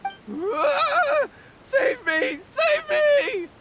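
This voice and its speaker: A man's voice: extremely high pitch, sing-song, very slow, full character voice. An actor playing an anxious, timid young man in a video game, earnest and somewhat whiny. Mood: terrified. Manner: earnest, whiny, easily panicked.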